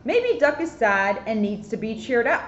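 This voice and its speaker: A woman voicing excitable young storybook cats with eager exclamations. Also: on the high side, normal speed. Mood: eager, puzzled. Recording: roomy echo, muffled, faint noise.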